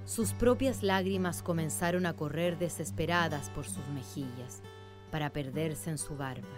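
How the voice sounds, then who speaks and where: A woman's voice: on the low side, natural voice. A woman narrating a radio drama in a solemn, literary manner.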